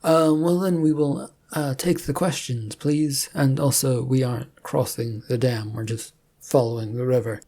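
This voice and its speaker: A woman's voice: very deep voice, fairly even intonation, measured pace, warm tone, husky, heavily acted. A woman voicing a polite, uneasy male knight in a fantasy story, hesitant but reasoning.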